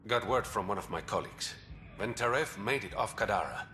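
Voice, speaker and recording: An actor playing a secretive man who brokers information in a port, confiding and smooth. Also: lowish voice, expressive, brisk, bright, forceful tone, slight background noise. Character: shadowy male underworld information broker.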